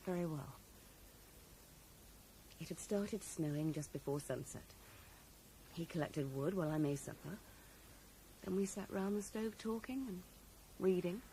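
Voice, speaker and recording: A woman's voice: fairly low pitch, a little slow, pauses often, dark tone. An actress playing a grieving, guarded and bitter woman in a radio drama, wistful yet curt with her questioner. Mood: wistful recollection. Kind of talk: 1980s radio drama acting. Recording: noisy 1980s radio broadcast recording.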